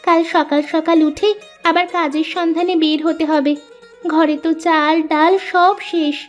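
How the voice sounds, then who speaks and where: Very high-pitched orphan village girl. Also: flat delivery, a little slow. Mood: busy, earnest. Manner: exclaiming, talks to herself aloud. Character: poor orphaned village girl, plucky and earnest. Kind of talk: Bengali moral-story cartoon voice acting. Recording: music behind the voice.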